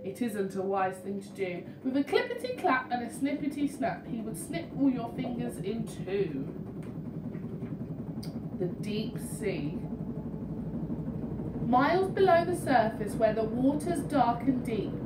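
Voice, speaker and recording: A woman reading a picture book to young children in an expressive, interactive way, speaking to them directly. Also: fairly high pitch. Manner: expressive, questioning, addresses young listeners. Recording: roomy, distant mic, faint noise.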